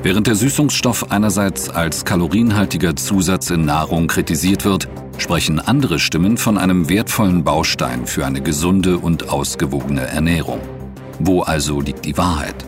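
Male narrator, deep voice, formal documentary read over a clear music bed. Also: moderate pace. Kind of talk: scripted documentary narration in an audio drama.

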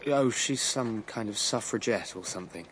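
A man's voice: on the low side, normal speed, a bit bright, slight background noise, natural voice. An actor playing an earnest, impulsive young Englishman, well-spoken and emotional, in a radio drama. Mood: eager, tender. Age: young adult. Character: earnest, lovestruck, restless young Englishman, aspiring composer. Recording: music under the voice.

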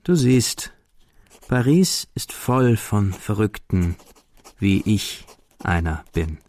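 A man's voice: on the low side, very animated, slow, darkish tone. A man playing a lovelorn, melancholy young man, reading his letters aloud with brooding intimacy in a radio drama.

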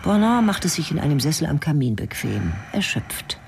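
A woman's voice: low-pitched, very animated, moderate pace, creaky, a little mellow, slight background noise. A woman narrating a radio drama in a terse, clipped, matter-of-fact way. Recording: studio radio play with music.

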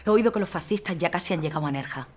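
A woman's voice: fairly low pitch, expressive, rapid-fire. Actress playing a determined young militia woman. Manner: determined, teasing. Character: stubborn young woman militia fighter Lina.

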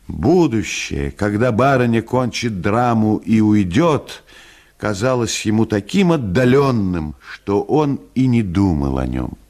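A man reading a comic short story for radio as an expressive, theatrical narrator. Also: on the low side, unhurried.

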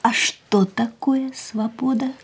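A woman's voice: on the high side, fairly even intonation, slow pace, creaky, slight background noise. An actress playing a restless, dreamy, haunted young woman in an intimate radio drama. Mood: wistful, probing. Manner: introspective, literary, dramatic delivery. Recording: radio play with music underneath. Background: music.

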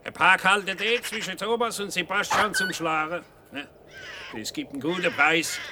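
An actor playing a gruff, practical forestry worker with a rural southern German accent in a radio play. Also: male voice, sits high, lively intonation, moderate pace. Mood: practical, grumbling. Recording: noisy outdoor forest scene.

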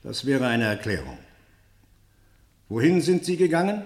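An actor playing a stern, sceptical interrogator in a radio play, formal and insistent, with deliberate, pointed questioning. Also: male voice, mid-range voice, very animated, echoey room. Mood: measured, probing. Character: stern, probing male interrogator, sceptical and relentless. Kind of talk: German radio play acting, interrogation drama.

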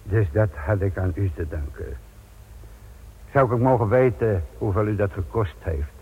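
A middle-aged police inspector in a radio play, low and husky. He is blunt, sardonic and embittered, a dogged investigator. The recording is tinny and narrow, like an old radio. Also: animated, normal speed. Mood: sardonic, incredulous. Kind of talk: Dutch radio drama acting.

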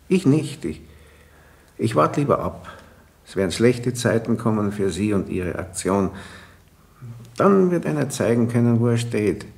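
A shifty, self-important schoolteacher in a radio drama, low-voiced but very animated. He dithers and then stresses his words grandly, the pitch jumping about as he hedges for his own advantage. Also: creaky, exaggerated voice. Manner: dithering, emphatic. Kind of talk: German radio play acting.

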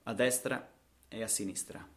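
A man's voice: lowish voice, fairly even intonation, moderate pace. A man with an Italian accent performing a satirical radio theatre monologue, ironic and emphatic, posing rhetorical questions.

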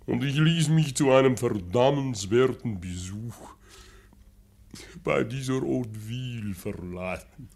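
An actor playing a self-righteous, moralizing professor in a German radio play, speaking in a formal, pompous and measured way. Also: male voice, medium pitch, gravelly, a little mellow. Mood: pompous justification.

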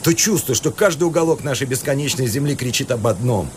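An actor playing a cultured, exasperated university professor in a radio play, lecturing in an articulate, indignant way. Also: male voice, medium pitch, expressive, fast talker, slight background noise, lightly acted. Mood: earnest, lecturing. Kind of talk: Russian radio play acting.

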